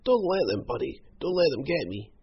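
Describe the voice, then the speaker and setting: A man voicing a cringing, wheedling villain in a storybook reading, with whiny, slangy, croaky speech. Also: lowish voice, expressive, normal speed, muffled recording. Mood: panicked, pleading. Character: whining, cringing, wheedling villainous man, rough gang boss.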